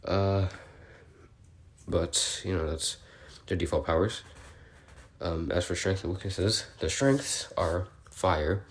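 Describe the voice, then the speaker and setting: A man's voice: sits low, slow. A man playing an awkward, curious, bookish researcher recording an audio log, explaining in a hesitant, rambling, politely apologetic way. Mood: hesitant, explanatory. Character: male researcher logging species notes, awkward, curious, bookish. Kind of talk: in-character audio log, semi-improvised explaining.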